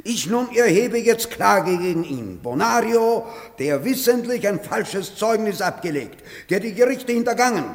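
A man's voice: sits high, animated, unhurried, few pauses. A man playing a slick, theatrical advocate and notary in a radio drama, speaking in a grandiloquent, declamatory way in a reverberant hall. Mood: outraged, declaiming. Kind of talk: classic German radio drama acting.

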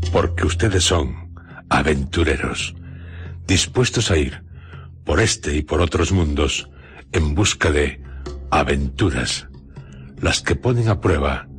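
Male radio host, Castilian Spanish. Bottomless low register, unhurried solemn delivery of dramatic scripted introductions. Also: fairly bright tone. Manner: solemn, theatrical, deliberate phrasing with pauses. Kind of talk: dramatic scripted radio narration introducing horror and adventure stories. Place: radio studio theatre. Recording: music bed under studio radio voice. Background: music.

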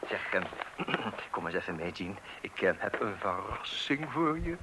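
An actor playing a cheerful, oblivious husband in a comic radio play, chatty and hesitant, repeating himself with self-satisfaction. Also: male voice, on the low side, very animated, a little slow. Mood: sheepish, puzzled. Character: cheerful, oblivious husband proud of his eccentric redecorating. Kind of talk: radio play comedy acting. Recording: roomy, noisy old radio play recording.

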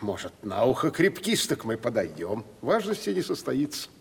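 An actor in a theatrical radio play, playing a sly, stubborn provincial timber merchant with a folksy, mock-deferential way of haggling. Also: male voice, medium pitch, sing-song, moderate pace, creaky, husky, heavily acted. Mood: gruff, wary. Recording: reverberant 1970s radio play studio.

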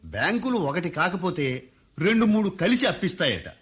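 Guy doing a slick flattering business type, lively voice, dull radio sound. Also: sits high, moderate pace. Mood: persuasive. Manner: flattering, persuasive. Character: smooth-talking businessman seeking favors. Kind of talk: radio play acting.

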